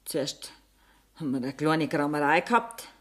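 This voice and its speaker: A woman's voice: sits low, lively intonation, moderate pace. An actress playing an embittered, poor middle-aged Bavarian mother who accuses bluntly in a Bavarian accent, in a radio play. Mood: resigned, bitter. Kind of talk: 1970s German radio play acting.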